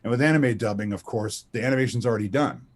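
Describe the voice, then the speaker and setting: A man's voice: mid-range voice, quick pace. A middle-aged man with a powerful voice, a voice actor chatting as an interview guest and acting out the people in his stories. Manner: animated storyteller, acts out quotes. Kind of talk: casual interview chat, storytelling.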